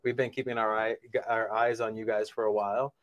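A man's voice: fairly low pitch, flat delivery, brisk, no echo, lo-fi sound. A man co-hosting a podcast who speaks enthusiastically and compliments the guest. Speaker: podcast co-host. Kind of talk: casual podcast chat.

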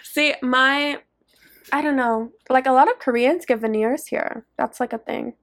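A woman's voice: sits high, fairly even intonation, measured pace. A young woman co-hosting a casual late-night podcast chat, giggling, teasing and swearing freely. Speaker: podcast co-host.

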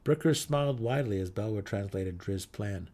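A man's voice: lowish voice, moderate pace, a little mellow. A man reading a scripted fantasy story as a dramatic audiobook narrator.

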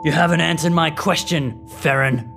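An actor playing a suspicious, argumentative rebel guard with a challenging manner in a sci-fi audio drama. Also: male voice, on the high side, normal speed, over music, natural voice. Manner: challenging, grudging.

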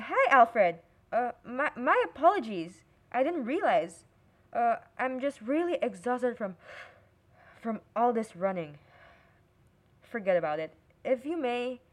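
A woman's voice: high voice, sing-song, moderate pace, a little mellow. A woman playing a curious, restless young princess and affectionate sister in an audio drama. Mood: flustered.

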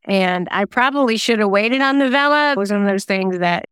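A woman's voice: medium pitch, a little fast, a bit bright, clear, resonant tone, no room sound. A woman giving reflective, earnest answers as a guest in a conversational interview. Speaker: guest, singer-songwriter and novelist.

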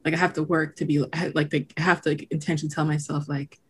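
A woman's voice: sits low, little pitch movement, fast, slightly rough. A young woman from Haiti with no trace of an accent, talking reflectively as a podcast guest, with many "like" fillers and frequent laughter. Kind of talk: casual conversation.

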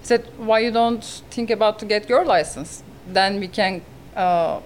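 A woman in her thirties, originally from Turkey with a Turkish accent and Turkish as her first language, talking in a podcast interview as a real estate agent in earnest, halting phrasing. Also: mid-range voice, slight background noise.

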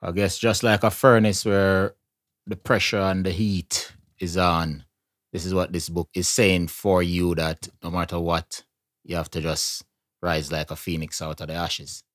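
Low-voiced Jamaican male interviewer. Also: little pitch movement, measured pace, no room sound, clean studio sound. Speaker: interviewer, host. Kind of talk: conversational interview.

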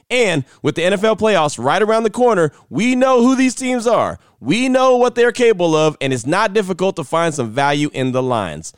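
Higher-pitched man reading a podcast ad. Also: clean tone, dry recording, studio quality. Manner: casual, salesy. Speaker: podcast host. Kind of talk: podcast ad read.

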